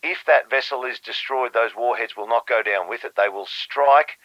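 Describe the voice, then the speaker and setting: An actor playing a grave, conspiratorial foreign minister of Australia, measured, formal and urgent in an audio drama. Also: male voice, medium pitch, dry recording, dull audio, lightly acted. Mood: urgent warning.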